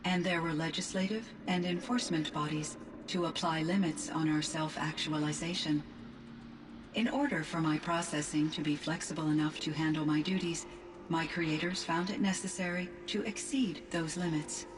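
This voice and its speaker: An actress playing a polite, measured artificial intelligence in a video game, with formal, precise, composed diction. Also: female voice, sits low, fairly even intonation, distant mic, background noise, close to an everyday voice. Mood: calm, explanatory. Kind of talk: scripted video game dialogue.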